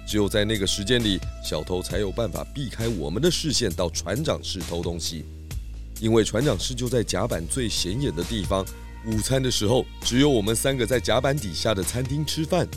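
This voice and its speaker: An actor playing a confident male pig detective in a children's audio drama, explaining things in a measured way. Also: fairly low pitch, animated, soft background music, lightly acted. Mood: serious, deducing.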